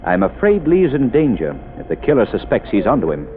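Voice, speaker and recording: A man playing a calm, wealthy amateur sleuth in a 1930s radio drama, measured and commanding. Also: medium pitch. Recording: tinny old radio broadcast with faint hiss.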